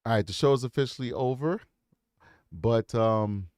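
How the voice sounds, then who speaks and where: A man hosting a true crime livestream, reading super chats aloud and thanking viewers in an upbeat, repetitive way with lots of ums. His voice is hoarse and worn out. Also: medium pitch, normal speed, a little mellow, dry recording, clean studio sound. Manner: upbeat, repeats himself, frequent ums.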